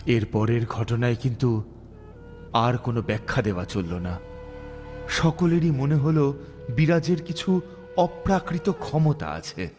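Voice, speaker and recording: A male storyteller narrates with a mid-range voice and very lively intonation. His delivery is scripted, dramatic and suspenseful, set over clear background music. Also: medium pitch, unhurried, pauses often. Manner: expressive, suspenseful.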